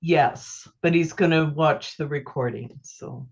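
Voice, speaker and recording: A woman from Canada, talking slowly and hesitantly on a video call. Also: low voice, gravelly, a little mellow, breathy edge, highs cut off. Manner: slow, hesitant.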